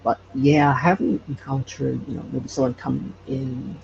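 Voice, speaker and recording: A man chatting casually as an interview guest, with hesitant, halting phrasing. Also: mid-range voice, vocal fry, darkish tone. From Hawaii. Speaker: podcast guest, audio drama creator. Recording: dull lo-fi sound, faint noise.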